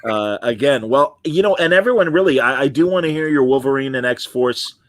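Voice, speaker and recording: A middle-aged man hosts a casual interview show, chatting freely and reading out comments from viewers. His voice sits at an ordinary middle pitch. The sound is close and dry, with no room around it. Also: expressive, fairly quick, no room sound. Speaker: podcast host.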